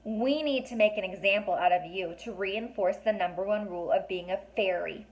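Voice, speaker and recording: A woman's voice: mid-range voice, a little fast, natural voice, low-quality recording. A woman acts out a fairy council member in a children's tale. The delivery is formal and severe, that of someone stern who expects to be obeyed. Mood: grave.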